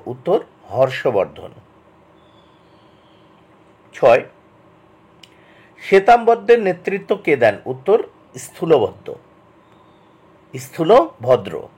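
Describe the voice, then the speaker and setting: A man teaches by reading exam questions and answers aloud. His voice sits a little high and has a husky edge, with pitch that moves up and down in a very lively way. He speaks to students directly and repeats key answers in a deliberate, instructional manner. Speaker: exam tutor.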